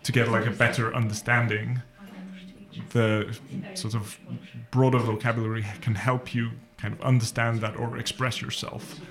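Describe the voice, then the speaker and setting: A man, a panel guest who speaks haltingly with many pauses. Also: mid-range voice, little pitch movement, fairly bright tone. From Wales. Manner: halting, lots of uhs. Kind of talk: panel discussion.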